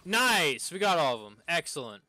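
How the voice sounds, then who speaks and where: A man's voice: on the high side, big pitch swings, moderate pace, pushed and bright. A man streaming a game with casual live commentary, exclaiming when things go wrong. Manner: reads game lines aloud, exclaims at setbacks.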